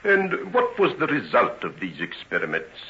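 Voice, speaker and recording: An actor playing a polite but persistent Victorian police inspector in an old-time radio drama. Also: male voice, medium pitch, wildly swooping pitch, normal speed. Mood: methodical, persistent. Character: probing, methodical male police inspector of Victorian London. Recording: dull vintage radio recording.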